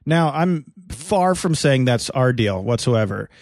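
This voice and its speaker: A male comedian co-hosts a loose podcast from his apartment, talking in a rambling way full of fillers, restarts and crude asides. The sound is dry and close with no room around it, and his pitch sits in the middle for a man. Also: animated, unhurried, a little mellow, no echo. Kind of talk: casual banter podcast.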